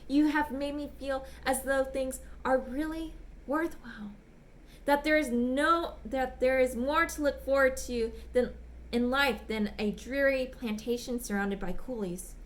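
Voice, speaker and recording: A woman voicing a smitten, bashful gentleman writing polite, apologetic, ardent love letters in a dramatic reading. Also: sits high, unhurried. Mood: adoring, shy. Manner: polite, apologetic, ardent, old-fashioned phrasing. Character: smitten, bashful, gushing gentleman letter writer back from China.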